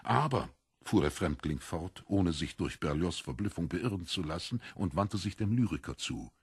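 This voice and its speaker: Low, husky male storyteller. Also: expressive, moderate pace, no room sound, studio quality. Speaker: narrator. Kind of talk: expressive literary audiobook narration.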